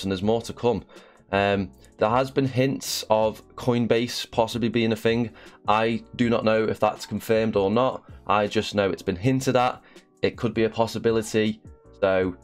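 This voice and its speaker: A young man with a slight cough hosting a casual promotional video, chatty and full of fillers. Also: fairly low pitch. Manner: chatty, with frequent "uh" and "um". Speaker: channel host.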